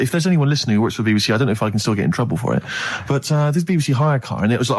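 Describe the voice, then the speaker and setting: A man's voice: mid-range voice, a little mellow. A man telling a comic anecdote as a radio co-host, chattily acting out the dialogue.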